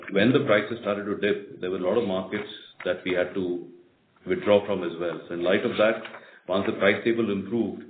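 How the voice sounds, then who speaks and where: Indian guy, company exec, low voice, measured answers, phone sound with a bit of hiss. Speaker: company executive. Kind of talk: answering questions on an earnings call. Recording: echoey narrowband conference phone line, faint noise.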